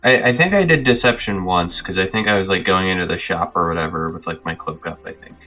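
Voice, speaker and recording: A man's voice: deep voice, quick pace. A man chatting casually as a player in a tabletop game, hesitant and unsure, over a narrow, muffled voice line. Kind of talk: casual chat. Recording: muffled phone-like voice line, faint music.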